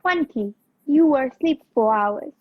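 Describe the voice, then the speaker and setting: A woman's voice: sits high, animated, unhurried. A woman acting a spirited, indignant young heroine in an amateur radio play. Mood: teasing, incredulous. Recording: dull, lo-fi video-call audio.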